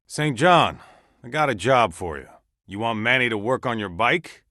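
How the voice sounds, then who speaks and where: A man's voice: medium pitch, animated, normal speed, pushed and bright, no echo, clean studio sound. A man acting a folksy, commanding survivor camp leader in a video game, speaking plainly and naturalistically. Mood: businesslike, calling out.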